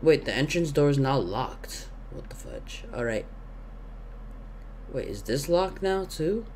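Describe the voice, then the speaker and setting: A man's voice: medium pitch, sing-song, measured pace, darkish tone, slight background noise. A young man with a sore throat and sniffles, chatting casually and thinking aloud with lots of fillers while he plays a game, talking into a headset at home. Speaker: gaming YouTuber. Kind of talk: casual let's-play commentary. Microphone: gaming headset.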